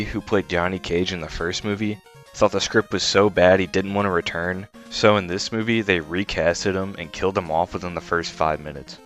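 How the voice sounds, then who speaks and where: Low-voiced man narrating a blunt review. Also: a bit bright. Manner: blunt, disparaging, informal with swearing. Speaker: video essay host and film critic. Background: faint music.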